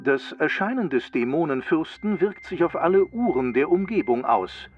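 A man's voice: fairly high pitch, big pitch swings, normal speed. Muffled, clinical male ghost expert. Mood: grave. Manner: clinical, formal. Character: dry, grave scientific expert on ghosts. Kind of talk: mock-documentary lecture. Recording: muffled, radio-like narrow band.

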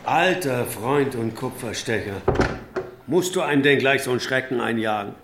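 A man's voice: medium pitch, animated, moderate pace, reverberant, noisy background, lightly acted. An actor playing a jovial old friend in his fifties on a hospital visit, talking in a jokey, colloquial way in a naturalistic radio play. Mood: jokey, flustered. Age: 50s.

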